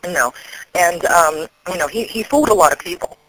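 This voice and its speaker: A man, an activist, explaining things in a serious phone conversation, often halting and restarting. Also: high voice, expressive, sharp tone, slight background noise, rough recording.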